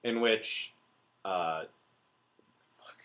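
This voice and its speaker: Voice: sits low, drawn-out words, a bit bright. A podcast host leading a casual book review chat in a joking, irreverent way.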